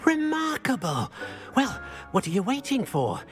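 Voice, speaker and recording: A man voicing an excitable, devoted little house-elf in a video game. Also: extremely high pitch, a little slow, music in the background, exaggerated voice. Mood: eager. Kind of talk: video game character dialogue.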